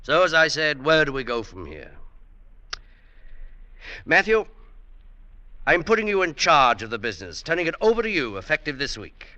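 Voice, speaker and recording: A man's voice: fairly high pitch, very animated, normal speed, long pauses, pushed and bright. An actor playing a world-weary, secretive widowed businessman, brooding and hesitant, in old-time radio drama style. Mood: restless, resolved.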